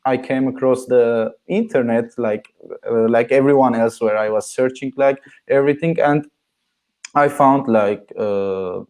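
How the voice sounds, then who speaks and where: A young man from Syria talks as a podcast guest, mid-pitched and earnest. He answers at length, leaning on filler words as he explains himself. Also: a little slow, a little mellow. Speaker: guest, marketing agency founder. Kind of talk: interview answers.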